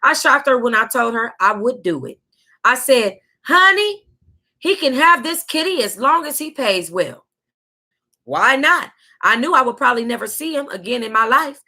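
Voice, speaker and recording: Bright, high-voiced sassy American woman. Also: very animated. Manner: sassy, exclamatory, drawn-out emphasis. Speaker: host of an online book club reading. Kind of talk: dramatic read-aloud of a memoir with sassy asides.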